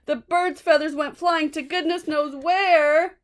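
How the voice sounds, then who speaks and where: Woman, high voice, expressive children's story reading, brief asides. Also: unhurried. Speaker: storybook reader.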